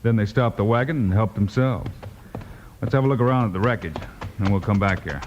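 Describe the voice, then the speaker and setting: A man's voice: fairly low pitch, close to an everyday voice. Man acting a firm western lawman hero. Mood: grim. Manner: brisk, take-charge. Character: steady, decisive U.S. marshal of the Old West. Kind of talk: old-time western radio drama acting. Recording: dull, hissy vintage radio recording.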